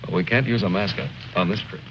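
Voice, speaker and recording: Actor as wry, low-voiced army lieutenant. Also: male voice, fast talker, natural voice. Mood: commanding. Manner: sardonic, articulate, commanding. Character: wry, cool-headed army lieutenant leading stranded men. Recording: dull, very noisy old film soundtrack.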